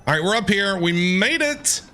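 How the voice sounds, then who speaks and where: Male game streamer, high bright voice, casual and excitable, reacting to play, light music behind. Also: moderate pace. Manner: excitable, repeats phrases. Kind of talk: casual reactive gameplay commentary.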